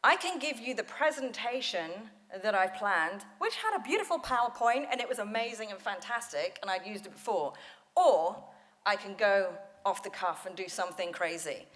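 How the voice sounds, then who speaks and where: Energetic woman speaking coach on stage. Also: mid-range voice, moderate pace. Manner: energetic, laughs often, storytelling. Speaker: speaking coach and panel moderator. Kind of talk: lively panel talk. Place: conference hall stage.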